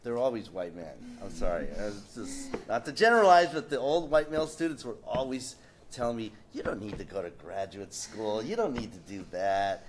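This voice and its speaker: A man on a discussion panel telling a lively personal story in a casual, animated way. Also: on the low side, normal speed, a little hiss or hum.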